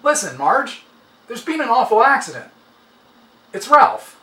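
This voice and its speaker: A man playing a grave male forge coworker, urgent, halting and sorrowful, in a dramatic story reading. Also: very high voice, big pitch swings, pushed and bright, pitched above the performer's own voice, heavily acted. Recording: roomy, slightly distant, faint noise.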